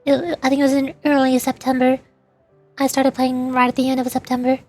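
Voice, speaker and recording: A woman's voice: sits high, little pitch movement, a little fast, a little mellow, faint music behind. A woman livestreamer chatting casually over a game in a rambling, playful way. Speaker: livestreamer, VTuber. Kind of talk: casual chat while gaming, answering live chat.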